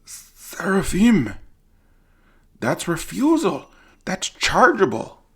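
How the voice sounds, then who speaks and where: Man acting a high, strained, frantic army captain. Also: wildly swooping pitch, very slow, exaggerated voice. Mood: outraged. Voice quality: strained, raspy shouting. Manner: barking, urgent. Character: burned army captain bellowing frantic orders, furious, desperate.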